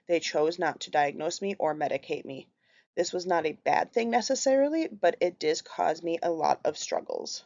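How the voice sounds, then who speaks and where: A woman's voice: low voice, a little mellow. A woman in her thirties from Minnesota with a Minnesotan accent, earnestly reading a prepared class presentation. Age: 30s. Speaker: student presenter. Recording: slightly muffled lo-fi recording.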